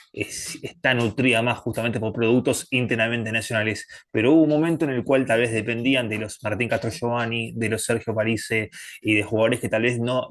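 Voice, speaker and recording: A man's voice: mid-range voice, no echo. A man hosting an interview, asking long, winding questions with asides. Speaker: interview host.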